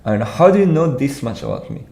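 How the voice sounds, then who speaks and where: A young man from Iran talks through programming solutions on a live stream at a desk microphone. His voice sits at an ordinary middle pitch, and his delivery is loose and hesitant, full of restarts and little laughs as he answers chat. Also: wildly swooping pitch, fast, vocal fry, a little mellow. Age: young adult. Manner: casual, hesitant, restarts sentences, laughs while reading chat.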